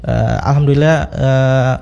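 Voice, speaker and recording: Guy from central sulawesi on radio, soft warm voice, kinda hesitant. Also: mid-range voice, steady tone, measured pace, creaky, dull audio. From Central Sulawesi, Indonesia. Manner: polite, hesitant, giggly. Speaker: pageant foundation founder. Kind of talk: radio guest interview. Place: radio studio.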